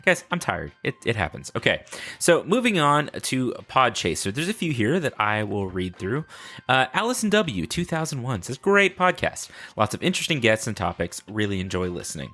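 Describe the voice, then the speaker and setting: A man's voice: medium pitch, very animated, normal speed, pushed and bright. A man hosting a podcast in casual, rambling solo chat with playful, self-mocking asides. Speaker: podcast host.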